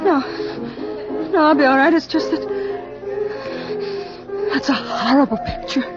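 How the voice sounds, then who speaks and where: A woman's voice: high voice, a little slow. An actress playing a fragile, haunted, anxious woman in a hesitant old radio drama style. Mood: faint, distressed. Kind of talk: 1940s radio acting. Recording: muffled old radio with loud music.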